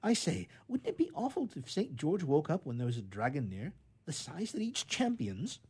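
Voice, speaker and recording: Man playing bossy storybook boy, superior, brave front, raised pitch, lively swings. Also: normal speed, breathy edge, exaggerated voice. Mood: glum, wondering. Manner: superior, scolding. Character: bossy young brother, boy trying to be brave.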